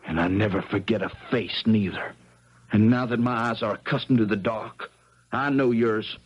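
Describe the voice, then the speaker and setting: Shrewd country sleuth, husky voice, folksy storytelling, dull 1970s radio drama recording. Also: male voice, on the low side, normal speed. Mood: cool, probing. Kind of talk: 1970s American radio drama acting, first-person storytelling and dialogue.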